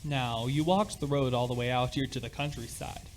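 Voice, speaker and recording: A man's voice: mid-range voice, steady tone, normal speed, noisy background, lightly acted. A man playing a sociable middle-aged father in an amateur radio play, with affable, old-fashioned phrasing. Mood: curious.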